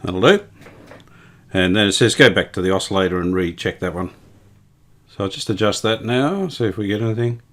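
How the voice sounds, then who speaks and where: A man's voice: on the low side, lively intonation, brisk, pauses often, fairly bright tone. A man giving a casual hands-on repair demonstration, unscripted and thinking aloud as he works. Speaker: host of radio repair video.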